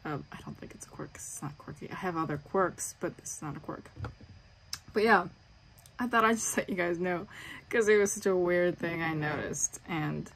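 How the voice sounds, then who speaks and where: A woman's voice: low voice, vocal fry, a bit bright, a little hiss or hum, low-quality recording. A young woman vlogging from her bedroom, chatty and self-aware, with lots of ums. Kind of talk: casual vlog talk to camera. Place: bedroom with bunk beds, indoors.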